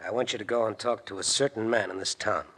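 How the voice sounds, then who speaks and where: An actor playing a tough, bossy, embittered gangster in a 1940s radio drama, with an American accent and clipped, slangy speech. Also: male voice, fairly low pitch, fast, fairly bright tone. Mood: businesslike, pressing. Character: tough, menacing gangster boss, bossy, bitter jilted husband.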